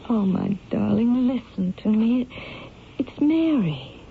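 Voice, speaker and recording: An actress playing a devoted, anxious wife in a 1970s radio drama, speaking tenderly and earnestly. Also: female voice, a little high, lively intonation, takes it slowly, creaky, exaggerated voice. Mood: soothing, tender. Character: devoted, anxious wife soothing her sick husband. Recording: noisy muffled old radio recording.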